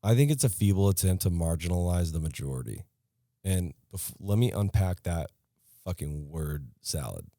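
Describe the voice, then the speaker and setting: Deep, mellow-voiced man ranting casually. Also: moderate pace, no echo, studio quality. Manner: blunt, profane, animated ranting. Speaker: podcast co-host. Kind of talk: casual chat.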